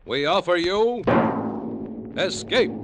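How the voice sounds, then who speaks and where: A man's voice: high voice, expressive, slow pace. An American man announcing in old-time radio promotional style, formal and emphatic, over orchestral music. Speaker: program and sponsor announcer. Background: orchestral music with timpani.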